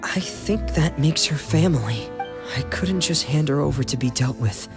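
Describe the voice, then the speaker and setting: A shy, earnest teenage boy from a video game voiced by an adult actor, mid-pitched and soft-spoken. He speaks with hesitant sincerity over loud background music. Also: expressive, moderate pace, close to an everyday voice. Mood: earnest, resolute. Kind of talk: English game voice acting. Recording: music behind the voice.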